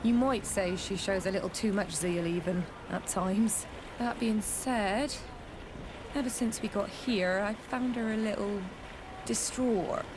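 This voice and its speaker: An actress voicing a reserved, dutiful female sailor in a video game, measured and guarded. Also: mid-range voice, noisy background, close to an everyday voice.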